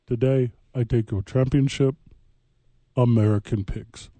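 A man hosting a comedic sports radio chat, animated and breaking into mocking voices. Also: mid-range voice, measured pace, no echo. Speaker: sports talk show host.

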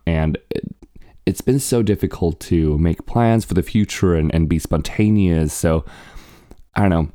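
A man's voice: sits low, mellow, no room sound, studio quality. A man whose first language is Swedish, hosting his own podcast in a casual, earnest and upbeat monologue as an actor.

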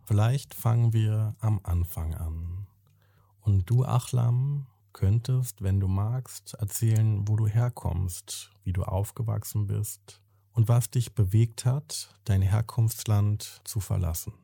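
A man hosting a podcast interview, calmly asking questions and reassuringly summing up his guest's answers. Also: on the low side, takes it slowly, creaky, no room sound, studio quality. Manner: calm, reassuring, sums up what the guest said.

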